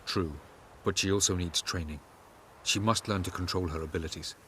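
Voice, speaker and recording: An actor playing a calm, aloof male elven sage who mentors a young woman, speaking in a measured, stern way in a game cutscene. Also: low-pitched, slight background noise. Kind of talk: video game cutscene acting.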